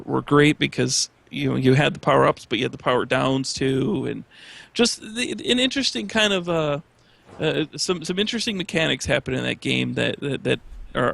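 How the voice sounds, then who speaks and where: A man talking casually on a discussion panel, halting and repeating words as he works toward his point. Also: medium pitch, vocal fry, a bit bright. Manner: halting, stammering with repeated false starts.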